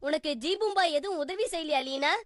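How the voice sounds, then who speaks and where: Cartoon schoolchild, very high and piercing, nosy, teasing, doubting, faint music. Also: female voice, a little fast, fairly bright tone, dry recording, clean studio sound. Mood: showing off. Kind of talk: Tamil-dubbed children's cartoon acting.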